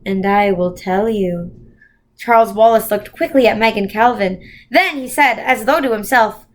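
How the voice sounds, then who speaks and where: A woman reading a children's novel aloud as scripted, expressive storybook narration. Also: fairly high pitch. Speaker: volunteer storybook reader.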